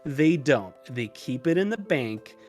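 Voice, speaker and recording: A man talking casually as a podcast guest, telling stories in an animated, self-deprecating way with exasperated asides. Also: mid-range voice, measured pace, soft background music. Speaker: podcast guest, illustrator and programmer.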